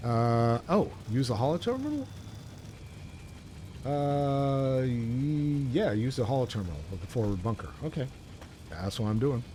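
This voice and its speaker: A man's voice: medium pitch, dark tone. A man doing casual livestream commentary as he plays, rambling and musing aloud in an informal way. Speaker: game streamer, host. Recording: livestream mic with game noise behind.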